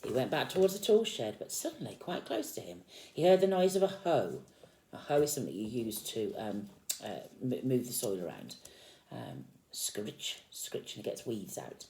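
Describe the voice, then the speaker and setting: A woman reads a picture book aloud to young children like a teacher, expressively, with gently explained asides. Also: extremely low pitch, big pitch swings, normal speed, echoey room, poor audio. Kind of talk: animated storybook read-aloud with explanatory asides.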